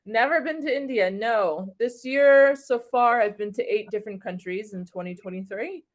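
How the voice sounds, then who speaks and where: A woman's voice: on the high side, moderate pace. A woman from New York City, chatting as an online English tutor in a friendly, easygoing way over a video call. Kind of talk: casual conversation.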